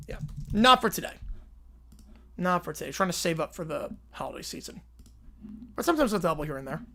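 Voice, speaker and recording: A man's voice: a little high, very animated, fairly quick, vocal fry, sharp tone. A man in his twenties from Long Island, casually calling a football game play by play on a livestream while chatting with viewers. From Long Island, New York. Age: 20s. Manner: chatty, answers viewer questions between plays. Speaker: livestream host and play-by-play commentator.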